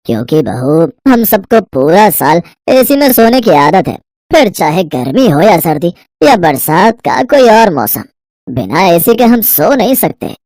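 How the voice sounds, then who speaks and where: A woman voicing a firm older in-law in a cartoon, speaking deliberately. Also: lowish voice, big pitch swings, a little mellow, no room sound, studio quality, pitched below the performer's own voice. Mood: firm, matter-of-fact. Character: slow-talking, firm older in-law elder.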